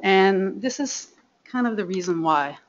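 A woman's voice: mid-range voice, animated, measured pace, lo-fi sound. A woman from Quebec with a French accent, giving a chatty, self-deprecating talk at a farming conference, full of ums and restarts. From Quebec, Canada. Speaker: conference speaker, seed farmer. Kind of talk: live conference presentation. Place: conference room.

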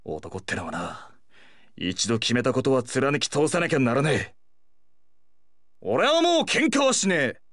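A man playing a brash, hot-headed teenage delinquent brawler with rough, cocky speech in an anime fighting game. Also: mid-range voice, sing-song, measured pace, long pauses, a bit bright, dry recording, studio quality. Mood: firm, earnest.

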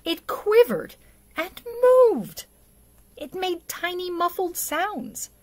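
A middle-aged woman reads and discusses a picture book for young children, expressively and deliberately, with dramatic emphasis. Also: very high voice, sing-song, dry recording. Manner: deliberate, asks the listener questions, dramatic hushes and emphasis. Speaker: storyteller for a church children's program. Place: small indoor setup before a plain backdrop.

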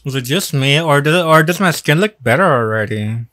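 Slow-talking young man vlogging at home. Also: fairly high pitch, lively intonation, fairly bright tone. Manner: slow, self-deprecating, repeats words aloud while learning. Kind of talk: casual commentary while doing a language app lesson. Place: small room indoors, bedroom.

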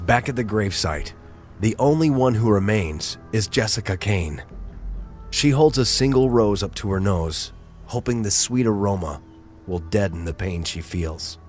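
Deep-voiced male drama narrator. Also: animated, measured pace, faint music behind.

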